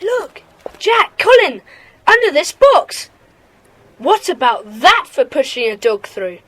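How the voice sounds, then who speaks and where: Take-charge little boy gang leader, high n lively, kids radio play. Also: slow pace, a little hiss or hum, full character voice. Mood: excited. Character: decisive, bossy schoolboy leader of secret club. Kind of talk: children's audio drama acting.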